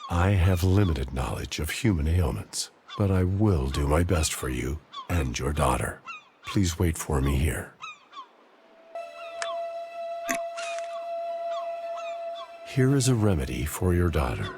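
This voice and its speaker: A grave courteous healer traveler in a game, really low voice, formal, swings up and down a lot. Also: male voice, very animated, noisy background, heavily acted. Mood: calm, courteous. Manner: formal, measured phrasing. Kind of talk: video game cutscene acting.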